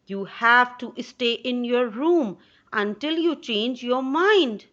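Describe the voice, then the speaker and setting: Loving strict mom voiced by a woman narrator, slow, kinda muffled. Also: a little high, lively intonation, fairly bright tone. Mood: stern. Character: loving but firm mother.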